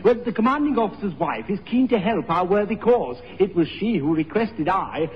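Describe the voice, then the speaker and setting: A man's voice: high voice, animated, moderate pace. A man playing a fussy, polite, hesitant amateur theatre producer in a 1960s radio sitcom. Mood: fussy, apologetic. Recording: muffled old radio recording, echoing hall.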